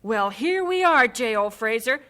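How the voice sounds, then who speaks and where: An actress playing a resolute, devout missionary wife with wry humour in an old-time radio drama. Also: female voice, on the high side, lively intonation, a little slow, a bit bright. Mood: eager, decisive.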